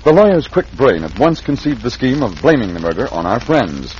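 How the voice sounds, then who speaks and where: An American man narrating a 1940s radio serial with dramatic, crisp storytelling. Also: medium pitch, big pitch swings, brisk, darkish tone, a little hiss or hum. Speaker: announcer and narrator. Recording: muffled vintage radio, faint organ music.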